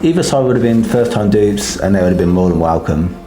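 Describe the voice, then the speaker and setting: A man's voice: animated, a little fast, over music. A man with a sore throat giving casual, hesitant game commentary full of ums. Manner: hesitant, filler-heavy, low-key. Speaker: gaming guide creator. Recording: roomy echo.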